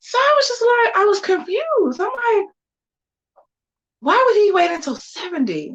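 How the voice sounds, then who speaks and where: A woman's voice: extremely high pitch, big pitch swings, measured pace, highs cut off. A woman in her forties chatting casually to her viewers while getting ready, sassy and full of slangy asides. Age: 40s. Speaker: vlogger. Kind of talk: casual get-ready-with-me chat.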